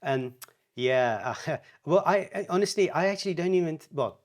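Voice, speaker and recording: A man's voice: a little high, expressive, moderate pace. A man answering parenting questions in a live online session, thoughtful and hesitant, with frequent restarts and fillers.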